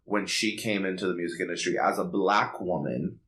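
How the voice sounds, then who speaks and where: A man's voice: low voice, a little fast, a bit bright. A man co-hosting a podcast, chatting casually and giving blunt, sassy opinions in slangy speech. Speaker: podcast co-host. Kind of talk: casual opinionated pop culture chat.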